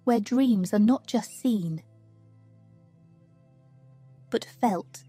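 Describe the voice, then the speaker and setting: A woman reading a scripted guided sleep meditation in a slow, soothing, hushed manner. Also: on the high side, no echo. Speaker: meditation narrator. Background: music.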